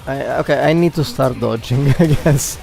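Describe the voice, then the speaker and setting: A woman's voice: very deep voice, normal speed, vocal fry, warm tone. A woman doing casual live commentary as she plays a game, muttering to herself. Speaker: game livestreamer. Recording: faint game music behind.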